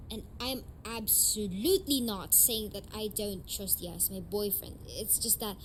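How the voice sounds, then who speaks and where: A young woman playing a blunt, defensive tsundere delinquent girlfriend in an amateur romantic audio roleplay, speaking haltingly and swearing. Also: mid-range voice, fairly bright tone, a little hiss or hum, poor audio. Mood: defensive, upset. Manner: hesitant, halting, foul-mouthed, defensive.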